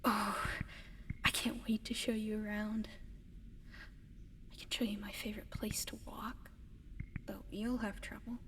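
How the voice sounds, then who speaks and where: Woman as a whispering, gentle alien in long reverb. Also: mid-range voice, flat delivery, lightly acted. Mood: excited, gentle. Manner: soft, hesitant, breathy whispering with halting pauses. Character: gentle, eager giant female alien adopting a human pet. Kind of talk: whispered ASMR roleplay to the listener. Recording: long reverb, audible background noise.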